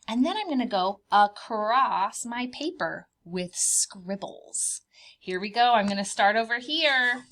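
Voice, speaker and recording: A woman's voice: on the high side, animated, measured pace, a bit bright. A woman preschool teacher leading a children's art lesson step by step, speaking in simple, child-directed language with encouraging questions and repeated phrases.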